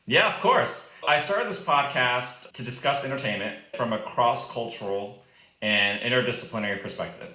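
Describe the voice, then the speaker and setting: Bright-voiced eager male podcast host. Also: mid-range voice, normal speed. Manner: eager, upbeat questioning. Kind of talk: casual podcast interview. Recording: roomy echo.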